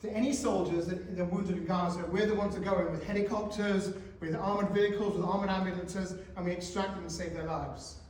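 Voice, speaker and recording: A man in his forties giving a talk to teenagers in a school hall, animated and questioning the audience. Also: high-pitched, a little fast, few pauses, a little hiss or hum. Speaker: guest speaker. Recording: echoey hall, speaker far from mic.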